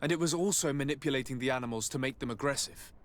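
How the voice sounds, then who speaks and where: A man's voice: mid-range voice, natural voice. Earnest, principled young nobleman, acted by a man. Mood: serious, reporting. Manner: measured, formal, serious. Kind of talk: dramatic video game acting.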